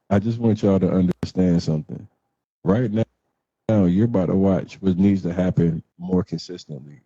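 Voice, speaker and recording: A man hosting a casual livestream, talking bluntly in slang and repeating words for emphasis. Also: mellow. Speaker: host of a livestream show. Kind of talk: casual live talk with commentary. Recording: muffled, boomy roomy livestream audio.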